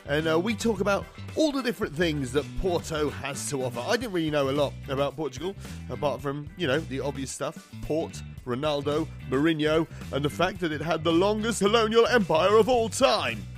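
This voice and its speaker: A man's voice: fairly high pitch, fairly quick, over music. A man hosting a podcast, upbeat and jokey as he introduces the show and interviews a guest. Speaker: podcast host.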